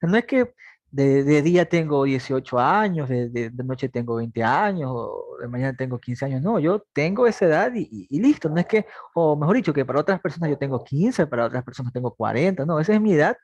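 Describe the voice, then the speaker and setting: A man's voice: fairly high pitch, expressive, brisk, darkish tone, no room sound, muffled recording. Young male teacher quizzing his class. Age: 20s. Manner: patient, asks students questions and answers them himself; repeats points, talks plainly to students. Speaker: math teacher. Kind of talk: online class lecture.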